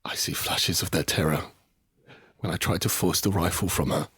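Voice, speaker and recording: An actor playing an anguished, guilt-ridden survivor in a post-apocalyptic audio drama, brooding and halting, in a hushed confessional manner. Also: male voice, low-pitched, fairly even intonation, husky, no room sound, full character voice. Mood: subdued, haunted. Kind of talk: dramatic first-person audio drama narration.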